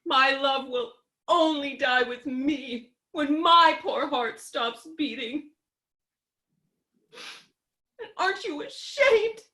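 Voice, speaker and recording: Woman acting a high-pitched, melodramatic grieving widow. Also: animated, bright, forceful tone, full character voice. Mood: wounded reproach. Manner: theatrical, drawn-out lamenting. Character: melodramatic, grief-stricken young widow, self-pitying and imperious. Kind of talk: staged reading of a stage comedy.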